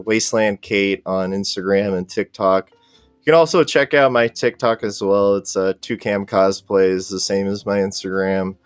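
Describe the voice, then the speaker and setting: A man's voice: low-pitched, smooth, no room sound. A man chatting casually as a podcast guest, laid-back and agreeable. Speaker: podcast guest, cosplay content creator. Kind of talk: casual chat.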